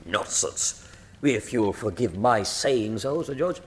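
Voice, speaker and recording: An actor playing an earnest, loyal, excitable Victorian doctor in a radio drama, with a flustered, proper manner. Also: male voice, medium pitch, takes it slowly. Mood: indignant. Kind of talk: full-cast radio drama acting. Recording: reverberant hall sound.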